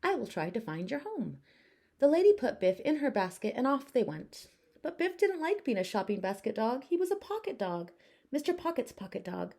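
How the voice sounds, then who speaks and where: A woman teacher narrating a children's storybook aloud with expressive, deliberate phrasing. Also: sits high.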